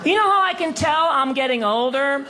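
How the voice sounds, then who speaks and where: A man in his fifties doing a stand-up comedy set on a club stage, telling stories wryly and landing crisp punchlines. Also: very high-pitched, normal speed, some chatter behind. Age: 50s. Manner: wry, deadpan storytelling with crisp punchlines.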